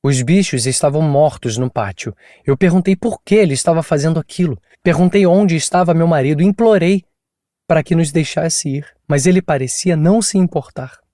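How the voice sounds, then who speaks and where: A man's voice: a little high, normal speed, dark tone, no echo, studio quality, natural voice. A man voicing an exhausted, traumatized woman as she recounts her abduction in a tense, pleading, weary way.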